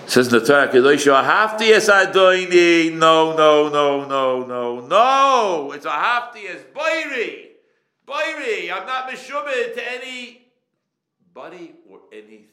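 A man's voice: high voice, big pitch swings, slow pace, sharp tone. A man lecturing as a rabbi, speaking emphatically with rhetorical questions and repeated phrases, mixing in Hebrew and Yiddish terms. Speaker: rabbi giving a Torah lecture. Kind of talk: sermon to a live audience. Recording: echoey room.

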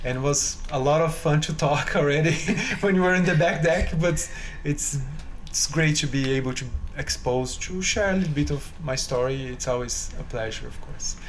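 A man's voice: a little high, normal speed, few pauses, a little hiss or hum. A man from Brazil with a Brazilian accent, speaking earnestly as a guest in a casual interview and searching for his words. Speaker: guest pianist.

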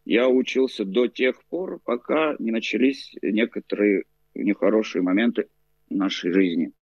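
Guy in his 40s from azerbaijan, kinda low voice, lots of ums and pauses, dry muffled line. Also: darkish tone, husky, no echo. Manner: hesitant, halting with many fillers. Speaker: interview guest. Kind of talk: casual interview.

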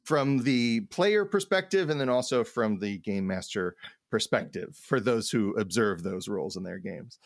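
A man's voice: lowish voice, expressive, normal speed, vocal fry, no echo, clean studio sound. A man co-hosting a podcast in casual chat, talking in a rambling, stop-start way with repeated words. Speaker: podcast co-host. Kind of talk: casual podcast chat about role-playing games.

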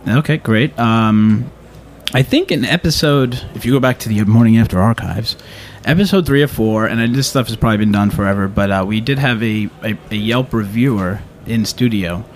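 A man with a fairly low voice hosts a casual talk show from a studio. He talks in a halting, rambling way, circling back on his phrases, with faint noise behind him. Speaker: radio show host. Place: radio studio.

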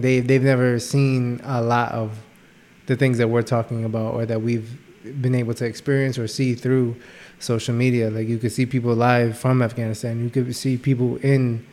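A man's voice: mid-range voice, dark tone. A young man co-hosting a podcast, rambling and searching for words with many fillers. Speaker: podcast co-host. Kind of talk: casual podcast chat.

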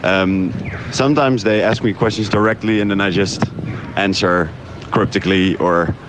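A man from the Netherlands recording a casual spoken diary, pleased and hesitant, with frequent pauses. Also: sits low, fairly even intonation, noisy background. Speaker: audio drama creator.

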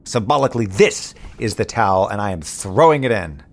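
A man's voice: on the low side, animated, a little fast. An actor playing a frustrated lone moonbase crewman in a sci-fi audio drama, wry and rambling as he thinks aloud. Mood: exasperated, defeated.

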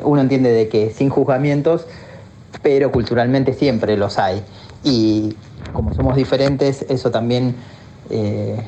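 A man's voice: medium pitch, mellow, dull audio, slight background noise. A man giving an interview, speaking hesitantly about his own experience with frequent restarts and fillers. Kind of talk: personal testimony.

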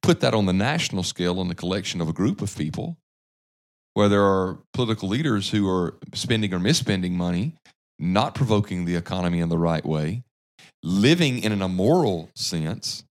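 A man in his thirties with a deep, low voice, making his points like a preacher on a church podcast. He talks earnestly and often restarts a sentence partway through as he works out the thought. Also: expressive, normal speed. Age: 30s. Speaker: podcast host.

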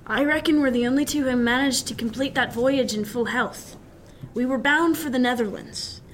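A woman plays a young eighteenth-century ship's officer, level-headed and dryly witty. The voice is on the high side and speaks in formal nautical phrases. There is some ambient noise behind it, as in an audio drama. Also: normal speed, close to an everyday voice. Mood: dryly teasing. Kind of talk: period radio drama acting.

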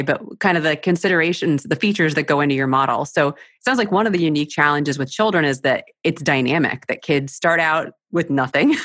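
A woman's voice: deep voice, fairly bright tone, no echo, clean studio sound. A woman hosting a show and interviewing a guest, who thinks aloud and sums up his points before each question. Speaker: podcast host.

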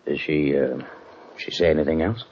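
An actor playing a shifty, scheming frontier town boss in an old radio drama, sly and guarded. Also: male voice, very deep voice, animated, normal speed, creaky, darkish tone, slightly rough, heavily acted. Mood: wary. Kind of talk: 1950s radio drama acting. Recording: muffled old radio broadcast with hiss.

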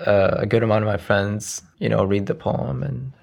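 A young man of about twenty from Iraq, whose first language is Arabic, talks in a low voice with a gravelly edge. His answers come out soft and hesitant, padded with frequent "you know"s, as an interview guest in a casual conversation. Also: steady tone, moderate pace. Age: around 20.

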